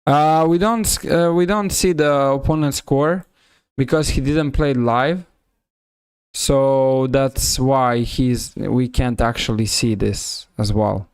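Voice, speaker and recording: A man with a Serbian accent giving live chess commentary on a stream, halting and often rephrasing himself. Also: medium pitch, clear, resonant tone, no echo.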